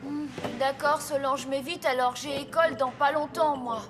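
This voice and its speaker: A woman voicing an earnest, overworked young boy apprentice in a cartoon, with an expressive, plaintive, boyish delivery. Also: sits high, normal speed, background noise, natural voice. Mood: grumbling, plaintive. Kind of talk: animated cartoon voice acting. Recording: loud music under the voice.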